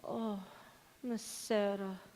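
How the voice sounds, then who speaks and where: A woman's voice: mid-range voice, flat delivery, very slow, a little mellow. A woman acting in a stage radio play as a loyal, scolding, sorrowful household servant with a folksy, fretful manner. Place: large reverberant church or hall. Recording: echoey hall.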